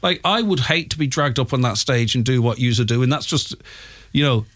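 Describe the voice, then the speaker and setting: A man's voice: medium pitch, lively intonation, fairly quick, fairly bright tone. A man hosting a live radio interview, chatty and encouraging, with plenty of fillers and restarts. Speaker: radio host.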